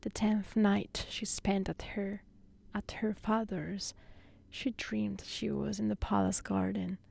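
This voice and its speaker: A woman reading a fairy tale as soft-spoken ASMR narration, gentle and hushed, often close to a whisper. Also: medium pitch, flat delivery, slow pace, vocal fry. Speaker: storyteller.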